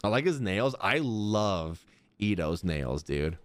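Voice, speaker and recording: Wildly animated male game streamer. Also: fairly low pitch, slow pace, no echo. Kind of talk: casual live gameplay commentary. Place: indoor gaming room. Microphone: close desk microphone. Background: faint game music.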